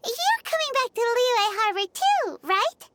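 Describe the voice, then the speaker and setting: A woman voicing a tiny, eager, childlike fairy companion in a video game, with a squeaky voice. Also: big pitch swings, a little slow, a bit bright, a little hiss or hum, exaggerated voice. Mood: eager, puzzled.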